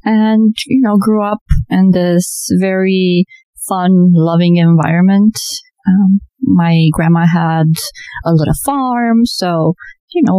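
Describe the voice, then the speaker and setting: Woman with a mellow voice telling her story. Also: on the low side, no echo, poor audio. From Romania. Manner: laughs often, chatty with self-corrections. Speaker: guest, registered dietitian. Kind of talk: casual interview storytelling.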